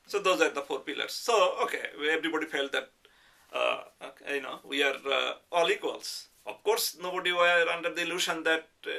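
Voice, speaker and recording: Bright-voiced Bengali-accented man, gravelly edge. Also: fairly high pitch. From Bangladesh. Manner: hesitant, measured, qualifies statements. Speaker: interviewee, professor. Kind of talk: reflective oral history interview.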